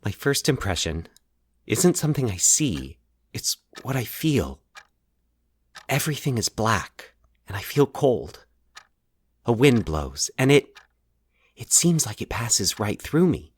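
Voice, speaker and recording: A man acting a troubled, anxious man in a fiction podcast, confiding his nightmares haltingly and with dramatic pauses. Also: medium pitch, big pitch swings, slightly rough, no echo, studio quality, full character voice. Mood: haunted, halting. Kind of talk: dramatic audio fiction monologue.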